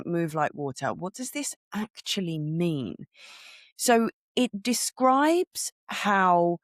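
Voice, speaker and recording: Croaky low-voiced woman podcast host. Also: no echo, studio quality. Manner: earnest and conversational, with little laughs and restarts. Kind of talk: solo spiritual podcast talk.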